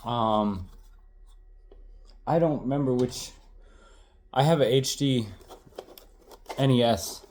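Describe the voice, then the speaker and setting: A man's voice: mid-range voice, takes it slowly, pauses often, darkish tone, a little hiss or hum. A man in his thirties, congested and tired, chatting casually and rambling as he shows off his old game collection, correcting himself and swearing now and then.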